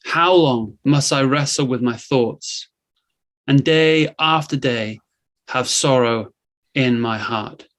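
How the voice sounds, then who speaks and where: A man reads scripture aloud in a medium-pitched voice. His delivery is solemn and gentle, with a markedly slow, measured pace. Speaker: scripture reader. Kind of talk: solemn Bible reading.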